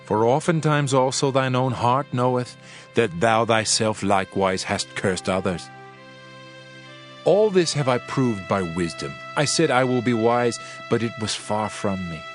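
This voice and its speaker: A man playing a solemn, world-weary preacher in a dramatized scripture reading, with measured, archaic oratory. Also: mid-range voice, lively intonation, natural voice. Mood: solemn, reflective. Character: male preacher, solemn world-weary sage pondering wisdom and vanity. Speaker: narrator of a dramatized audio Bible. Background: music.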